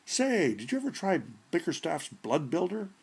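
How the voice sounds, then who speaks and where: A man voicing a brash, folksy burglar in a short story reading, with gravelly, husky voice and blunt, colloquial delivery. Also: fairly high pitch, very animated, moderate pace, darkish tone, pitched above the performer's own voice, exaggerated voice. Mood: chummy, complaining. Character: brash, folksy, slangy male burglar with rheumatism.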